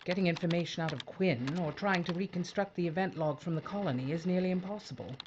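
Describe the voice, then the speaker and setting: A woman playing a weary, determined spaceship pilot and commander in a science fiction audio drama, brisk and businesslike with a wry edge. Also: on the low side, darkish tone, dull audio, slight background noise, natural voice. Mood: resolute.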